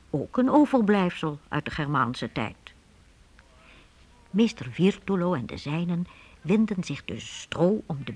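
A woman narrating a radio play as a deliberate, expressive storyteller reading a script. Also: fairly low pitch, husky.

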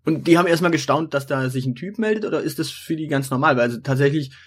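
Husky, stumbling male interview host. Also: a little high, fast. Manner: informal, stumbling over words and repeating himself. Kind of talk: casual conversational interview.

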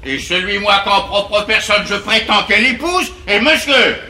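A blustering henpecked father, high bright voice. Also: expressive, measured pace, heavily acted. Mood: stubborn. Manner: emphatic, declamatory, swaggering. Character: blustering but henpecked bourgeois father and husband. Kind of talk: classical French verse stage comedy. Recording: roomy, noisy vintage theatre recording.

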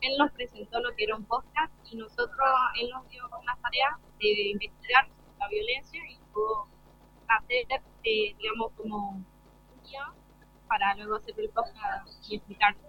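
A woman's voice: fairly high pitch, normal speed, a little hiss or hum. A young woman speaking as a student in an interview, giving short informal answers. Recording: slightly dull lo-fi live broadcast.

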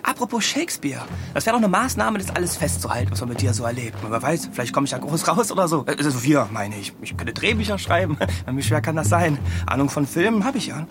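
A man's voice: fairly high pitch, animated, quick pace, few pauses, over music, lightly acted. A young man playing a talkative, cheeky sidekick who also narrates a radio drama in a flippant, colloquial way. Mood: chatty. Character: chatty, cheeky young male sidekick and storyteller.

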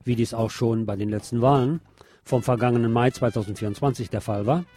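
A man's voice: mid-range voice, fairly even intonation, fairly quick, mellow. A man presenting scripted news with commentary, explaining items in an opinionated way.

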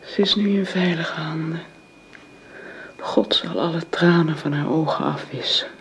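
A woman in a Dutch radio drama playing a devout, consoling woman, soft-spoken and serene. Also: low-pitched, measured pace. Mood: consoling, solemn. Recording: faint background noise.

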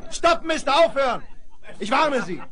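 A friendly young rancher's son in a western adventure radio play. The voice is high and lively, spirited and sure of itself, on a noisy old vinyl recording. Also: male voice, normal speed. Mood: urgent, firm. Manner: spirited, familiar, assured. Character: plucky, friendly young rancher's son, devoted to his dog. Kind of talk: 1970s German western radio play acting.

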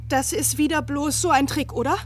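Actress as an anxious high-voiced woman. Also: fairly even intonation, moderate pace, a little hiss or hum, natural voice. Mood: anxious, insistent. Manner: urgent, questioning. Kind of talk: German radio drama acting.

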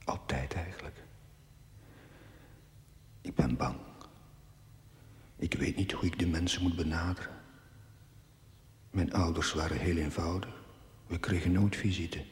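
An actor playing a shy, anxious young priest who prays in a hushed, hesitant way in a television drama. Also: male voice, deep voice, a little slow, long pauses. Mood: timid, confiding. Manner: hushed, hesitant, half-whispered. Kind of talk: intimate naturalistic TV drama monologue in prayer. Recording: long church reverb.

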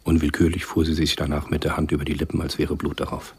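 Very deep, husky male narrator. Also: level tone, darkish tone. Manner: measured, sober storytelling. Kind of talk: scripted radio play narration.

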